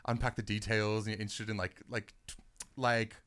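A man's voice: fairly low pitch, little pitch movement, brisk, fairly bright tone, clear, resonant tone. A young man hosting a casual radio show, chatting and rambling with lots of fillers. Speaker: radio host.

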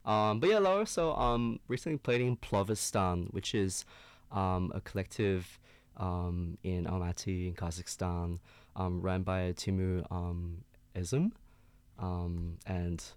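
A man's voice: low-pitched, a little slow, smooth, dry recording. A man from China co-hosting a casual radio show, hesitant with many ums. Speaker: radio host.

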